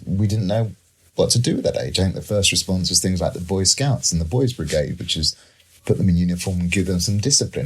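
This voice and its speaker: A man's voice: low-pitched, husky. A man talking as an expert panel guest, explaining his thinking in a conversational, discursive way.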